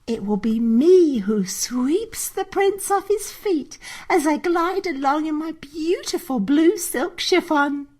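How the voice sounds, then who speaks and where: A woman's voice: high-pitched, animated, takes it slowly, few pauses, a little mellow. A woman voicing a cruel, vain young stepsister in a children's fairy tale, haughty and sneering. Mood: taunting, cruel.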